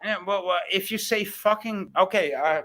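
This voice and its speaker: A man's voice: sits high, normal speed, pushed and bright. A man who is not a native English speaker, arguing in a heated live stream, stammering and sarcastic. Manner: stammering, sarcastic, repeats words. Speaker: guest in a live stream debate.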